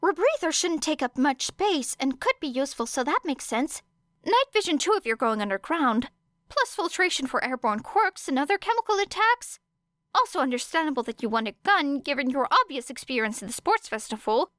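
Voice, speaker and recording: A woman's voice: sits high, very animated, fairly quick, fairly bright tone, no room sound, studio quality, pitched above the performer's own voice. A woman voicing an earnest, inquisitive, nerdy teenage boy who rambles analytically in an audiobook story. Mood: muttering, analytical. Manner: rambling, analytical, piling up details.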